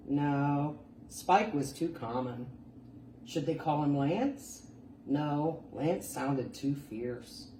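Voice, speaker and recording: Slow, expressive woman storyteller in a roomy space. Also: low-pitched. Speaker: library storytime reader. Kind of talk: animated children's story reading. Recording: roomy, distant mic, faint background noise.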